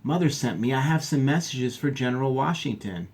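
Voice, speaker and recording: A man's voice: medium pitch, expressive, brisk, a little mellow, pitched above the performer's own voice, lightly acted. A man voicing an earnest, polite young patriot boy in a colonial storybook.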